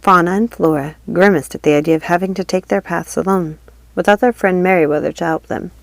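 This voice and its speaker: Woman, audiobook reader, even steady narration, dry close sound. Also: fairly low pitch, brisk, vocal fry, dry recording. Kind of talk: storybook read-aloud narration.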